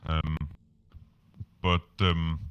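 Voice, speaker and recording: A man's voice: a bass voice, flat delivery, clean tone, dry recording, dull audio. A Danish man speaking English as a podcast guest, talking casually with lots of fillers and restarts. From Denmark. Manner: halting, many fillers and restarts. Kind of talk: casual interview chat.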